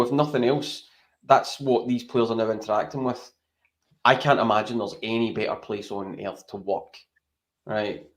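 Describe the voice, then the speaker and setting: A Scottish man talking football on a podcast in a chatty, rambling way, often tagging his points with "right?". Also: lowish voice. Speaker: podcast co-host. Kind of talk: casual football chat.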